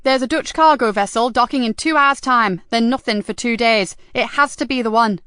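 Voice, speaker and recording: A woman as a female detective boss, fiery, sharp, demanding, gritty, raised pitch, crime audiobook character, very dry sound. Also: expressive, moderate pace, no echo. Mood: brisk, excited. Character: fiery, hot-tempered female police detective inspector.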